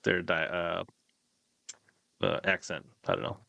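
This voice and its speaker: A low-voiced man works as a livestream host, talking in a loose, rambling way. His questions come out conversationally, in a close, dry sound with no room on it. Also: a little slow, creaky, bright, forceful tone, dry recording, highs cut off. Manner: rambling, reads out viewer questions. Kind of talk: casual live-stream interview chat.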